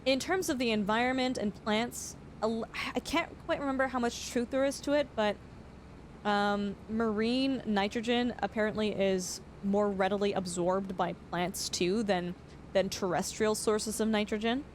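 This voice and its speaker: A young woman streaming a farming game, chatting casually and explaining facts with lots of fillers, her voice slightly hoarse. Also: medium pitch, normal speed, slight background noise. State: voice going hoarse. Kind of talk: casual live-stream chat.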